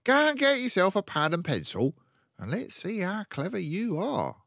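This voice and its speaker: A man acts out a storybook father who is a boastful, crooked car dealer. He is loud, bossy and emphatic, with a raised pitch and lively swoops. The sound is a little dull. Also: normal speed, vocal fry, no echo, full character voice. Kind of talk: children's storybook character voice.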